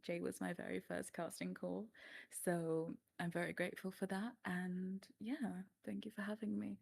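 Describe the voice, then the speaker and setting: A woman's voice: lowish voice, level tone, moderate pace, vocal fry, a little mellow. A woman from South Africa, an ASMR creator, casually introducing herself.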